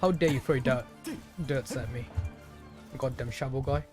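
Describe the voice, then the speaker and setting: A male streamer with a medium-pitched voice talks over game music. He gives loose, casual commentary on his own play. His manner is chatty and playful, often addressing characters and foes directly as if they could hear him. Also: moderate pace. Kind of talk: casual live gameplay commentary.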